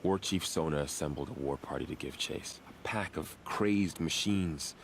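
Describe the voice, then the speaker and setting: Grave deep-voiced warrior. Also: male voice, moderate pace, slight background noise. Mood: grave, grim. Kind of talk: video game cutscene acting.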